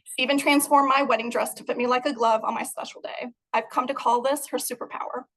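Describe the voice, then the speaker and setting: A woman's voice: on the high side, fairly even intonation, quick pace, a bit bright. A young adult woman from Moldova, giving an earnest, prepared talk about her art over a video call. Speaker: guest artist.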